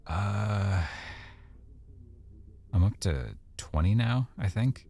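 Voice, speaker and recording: A man playing an affectionate, easygoing boyfriend in an intimate roleplay, speaking casually and softly with hesitant pauses. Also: fairly low pitch, animated, husky, heavily acted. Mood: fond, intimate. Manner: soft-spoken, casual, hesitant pauses and trailing thoughts. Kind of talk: boyfriend roleplay ASMR, one-sided intimate conversation.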